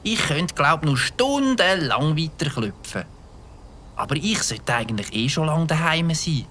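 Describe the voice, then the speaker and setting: A man playing an eager, mischievous young boy with a Swiss German accent, excitably thinking aloud in a children's audio drama. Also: fairly high pitch, a little slow, slight background noise, heavily acted. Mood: wistful. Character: Mike, eager mischievous young boy who loves firecrackers.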